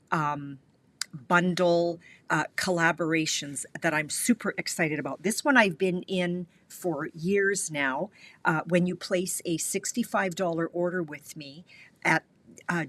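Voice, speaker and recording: A woman's voice: fairly low pitch, fairly bright tone. Stuffy-voiced woman chatting on a craft stream. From Canada. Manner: chatty, greets viewers by name, many fillers. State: stuffy and slightly scratchy from a cold. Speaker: craft demonstrator hosting a live stream. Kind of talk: casual live-stream tutorial chat.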